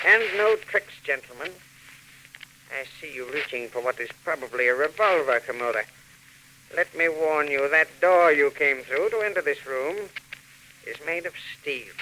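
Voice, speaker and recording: An actor playing a shrewd, mercenary villain in a 1940s radio drama, speaking in a precise, theatrical, imperious way. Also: male voice, fairly high pitch, bright, forceful tone. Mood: cold, commanding. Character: shrewd, mercenary male villain selling a stolen robot. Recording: noisy old 1940s radio recording.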